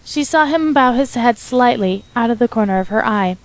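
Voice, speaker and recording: A woman's voice: a little high, lively intonation, moderate pace, rough recording. Woman narrating a dramatic story.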